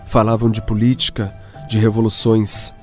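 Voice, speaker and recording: A man with a Brazilian accent giving measured, scripted narration for a radio drama. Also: on the low side, completely flat delivery, darkish tone. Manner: measured storytelling. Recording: music clearly behind voice.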